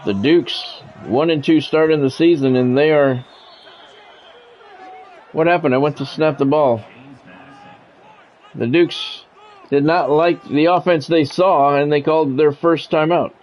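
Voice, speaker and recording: A man's voice: fairly high pitch, unhurried, long pauses, darkish tone, slight background noise. A man streaming a sports video game, switching between play-by-play commentary and casual chat. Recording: dull lo-fi audio, music behind.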